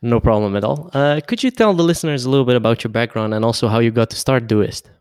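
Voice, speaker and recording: A man hosting a casual podcast interview, asking questions in informal, halting phrases. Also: medium pitch, a little mellow, smooth, dry recording, studio quality.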